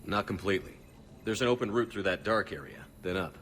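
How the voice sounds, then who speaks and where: An actor playing a steady, principled military commander in a video game, level-headed and plain-spoken. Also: male voice, lowish voice, brisk, slight background noise. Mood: resolute.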